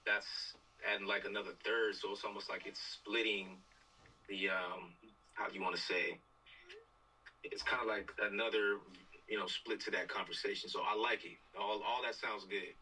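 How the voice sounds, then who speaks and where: A man's voice: on the low side, level tone, normal speed, pushed and bright, breathy edge. A man talking casually and hesitantly on a video call, circling back to the same phrases as he gives his input. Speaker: film team member giving input. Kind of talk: casual group discussion. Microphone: video call microphone. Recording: distant, faintly noisy video-call audio.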